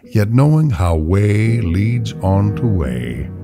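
A man reciting poetry solemnly, in a measured, reflective delivery with deliberate pauses. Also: fairly low pitch, big pitch swings. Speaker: poetry reader. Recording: soft guitar music behind voice. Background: plucked guitar music.

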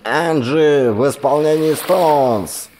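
A man's voice: fairly high pitch, slow, voice pushed up. A man voicing a chatty late-night radio DJ heard through a crackling receiver speaker. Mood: breezy. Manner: breezy patter over a crackling radio.

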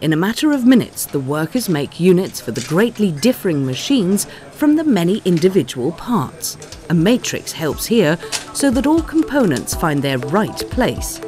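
A narrator reading a scripted factory documentary in a measured, explanatory way. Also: mid-range voice, very animated, a little mellow. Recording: music bed behind narration. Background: background music.

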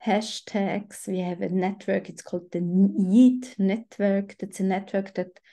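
A woman's voice: mid-range voice, steady tone, measured pace, dark tone. A woman in her forties from Switzerland with a Swiss German accent, giving an explanatory academic presentation on a video call. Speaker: guest researcher. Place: indoors at home on a video call. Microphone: computer microphone on video call.

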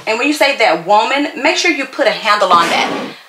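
A woman's voice: fairly high pitch, lively intonation, a little fast, reverberant, noisy background. A woman in her forties vlogging while doing her makeup, talking back to a commenter in a sassy way and repeating herself for emphasis. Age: 40s. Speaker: YouTuber. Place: bedroom at home, indoors. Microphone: fixed camera at desk.

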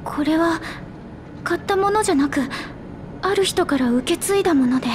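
A woman's voice: very high-pitched, expressive, moderate pace, noisy background. A woman voicing a tiny, childlike halfling researcher in a video game, earnest and polite. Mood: stunned, hesitant.

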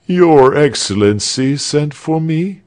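A man's voice: a little high, expressive, slow pace, darkish tone, voice pushed up. A man playing a kindly old male executioner, mild, fussy and very courteous, in a storybook reading. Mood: fussy, obliging. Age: older.

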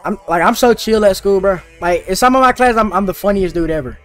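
A man's voice: high-pitched, a little fast, faint music behind. A teenage boy streaming a game, chatting casually and slangily with his viewers. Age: teens. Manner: slangy and laid-back, talking to chat. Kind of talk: casual live-stream chat while gaming.

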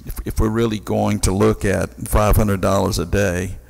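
Older guy at a council meeting asking questions slowly and haltingly, heavy vocal fry, echoing chamber. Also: fairly low pitch, level tone, darkish tone. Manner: halting, deliberate. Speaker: city council member questioning staff. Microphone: gooseneck desk microphone. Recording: reverberant meeting hall.

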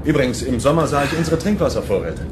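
A man's voice: lively intonation, quick pace, lightly acted. An actor playing a concerned, practical man who shelters a fugitive in a German sci-fi audio drama, speaking earnestly. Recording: clear background noise, rumbling ambience.

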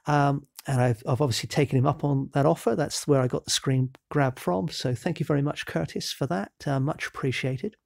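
A man with a bit of a cold talks through a painting demo in a relaxed, explanatory way. His voice carries a gravelly edge at a middling pitch. The sound is dry and close, with almost no room around it. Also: brisk, hardly any pauses, no echo. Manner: relaxed, explanatory, with frequent ums and self-corrections. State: has a cold. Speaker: painting instructor. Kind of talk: real-time painting demo narration.

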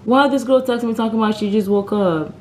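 A young woman from Guinea-Bissau chatting playfully to her viewers on a travel vlog, her voice a bit hoarse. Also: on the high side, brisk, background noise. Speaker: travel vlogger. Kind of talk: casual vlog chat to camera. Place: hotel room and lobby at night. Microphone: handheld phone camera.

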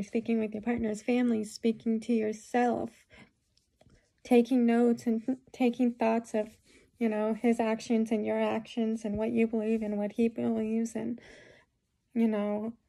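A young woman talking to her phone camera in a small room, giving rambling advice with many fillers, a bit hoarse from a cold. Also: a little high, warm tone. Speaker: vlogger. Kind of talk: casual talk to camera. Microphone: phone camera at close range.

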